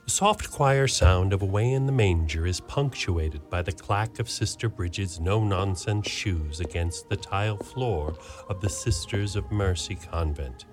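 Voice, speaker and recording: A man narrating a radio play in a steady storytelling manner. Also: deep voice, measured pace, few pauses, music in the background. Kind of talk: scripted narration.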